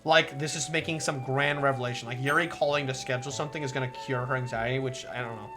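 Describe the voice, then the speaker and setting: A woman streamer chatting casually while playing a visual novel, rambling and swearing, with a soft, relaxing voice. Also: a bass voice, fairly even intonation, fast talker, music in the background. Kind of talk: casual livestream commentary over a visual novel.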